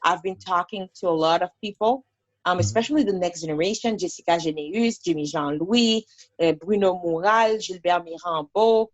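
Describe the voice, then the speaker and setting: A woman with a Haitian accent talks through an interview in a lively, storytelling way, often restarting sentences. Her voice sounds dull and lo-fi, and the recording is dry, with no room sound. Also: lowish voice, moderate pace, no echo. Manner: animated storyteller, many fillers and restarts. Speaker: guest. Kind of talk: conversational interview.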